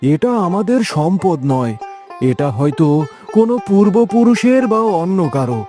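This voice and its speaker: A man's voice: high-pitched, very animated, moderate pace, warm tone, soft background music. A man playing an honest, humble village farmer in a cartoon, earnest and exclamatory. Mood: gently firm. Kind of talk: cartoon dialogue.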